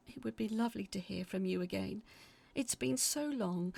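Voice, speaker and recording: A woman acting as an anxious narrator, telling a scripted first-person horror story in a confiding, uneasy, dramatic way with a husky, creaky voice. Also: fairly high pitch, no echo, exaggerated voice. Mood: anxious, pleading. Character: anxious woman reading out her frightened letters about sinister events.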